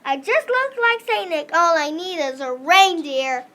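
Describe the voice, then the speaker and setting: A child reads a scripted part in a storybook readers theater. The voice is very high in pitch and has a bright, clear-cut edge. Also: very animated, measured pace.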